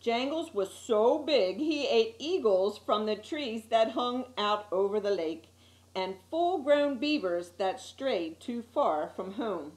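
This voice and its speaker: A woman reading a children's picture book aloud as an expressive storyteller, with little asides to her listeners. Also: on the high side, slow pace. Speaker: story time reader. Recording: echoey room.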